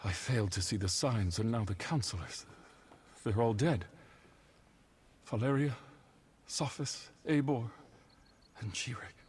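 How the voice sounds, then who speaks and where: Weary, very husky male councillor. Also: medium pitch, darkish tone. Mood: grieving, stunned. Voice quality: husky, rough voice. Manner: grave, measured, formal. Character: weary, shaken male councillor betrayed by a colleague. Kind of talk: video game character dialogue.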